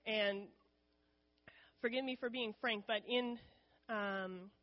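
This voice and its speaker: A woman's voice: fairly high pitch, steady tone, takes it slowly, lo-fi sound. A woman giving an informal missions talk to her church in a candid, conversational way, with frequent ums. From United States.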